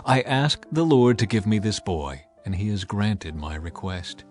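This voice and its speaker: A man voicing a devout mother in a dramatized scripture reading, reading her prayer slowly and fervently. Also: on the low side, lively intonation, faint music behind, pitched below the performer's own voice. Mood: earnest. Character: grateful devout mother praying aloud.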